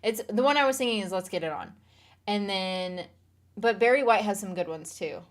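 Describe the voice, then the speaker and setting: A woman's voice: mid-range voice, moderate pace. A woman streamer chatting casually on a live stream, reading viewer comments aloud and laughing along.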